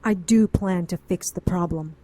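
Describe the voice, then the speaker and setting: A woman's voice: on the low side, a little slow, mellow. A woman playing a composed, defensive witch who frankly narrates her past in an audio drama. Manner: frank, self-justifying.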